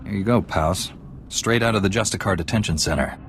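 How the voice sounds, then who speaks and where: A calm game hero knight, low voice, direct and steady, faint noise. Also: male voice, a little fast. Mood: composed. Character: resolute, composed male Jedi knight hero. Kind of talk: video game dialogue.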